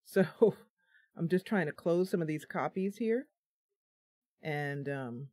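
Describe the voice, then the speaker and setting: A woman's voice: deep voice, expressive, slow, a little mellow, no room sound, clean studio sound. A tired woman streaming live from her home computer, chatting casually, laughing at her own slip-ups. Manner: chatty, giggly, self-deprecating.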